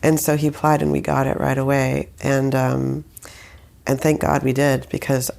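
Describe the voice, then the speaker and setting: A woman's voice: a bass voice, little pitch movement, normal speed, vocal fry, a little mellow. A young woman who grew up in Saudi Arabia, chatting casually as an interview guest, rambling with many fillers and restarts. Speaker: guest, musician.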